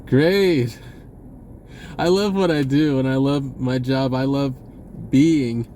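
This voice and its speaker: A man talking casually and off the cuff to viewers, halting and often repeating his words. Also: mid-range voice, dark tone, slight background noise. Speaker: spiritual video blogger. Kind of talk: casual unscripted monologue to viewers.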